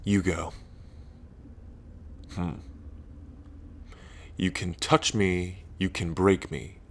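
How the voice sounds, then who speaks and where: A man playing a lonely ancient dragon king in roleplay ASMR, proud yet bashful, with halting, deliberate phrasing. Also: deep voice, level tone, a little hiss or hum, heavily acted. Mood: playful, challenging. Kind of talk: roleplay ASMR audio drama.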